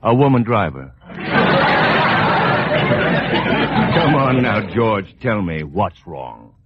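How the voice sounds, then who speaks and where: An actor playing a jovial, bantering family doctor with a teasing bedside manner, in old radio comedy style. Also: male voice, fairly low pitch, very animated, measured pace, a loud crowd. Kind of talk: 1940s-50s radio comedy acting. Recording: noisy, muffled old radio broadcast.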